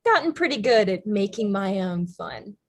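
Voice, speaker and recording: A woman's voice: mid-range voice, big pitch swings, moderate pace. A woman playing a bitter, combative young woman in a treatment group in a staged play reading, blunt, sarcastic and profane. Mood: sardonic.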